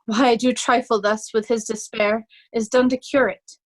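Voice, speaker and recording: A woman's voice: fairly high pitch, level tone, moderate pace, dry recording, lightly acted. A woman playing a young nobleman in peasant disguise, urgently and vividly guiding, in an amateur Shakespeare reading. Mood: gentle. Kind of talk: amateur Shakespeare reader's theatre.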